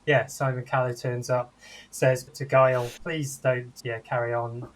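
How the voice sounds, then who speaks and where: A man's voice: mid-range voice, little pitch movement, measured pace. An older man chatting casually as a panellist on a film review.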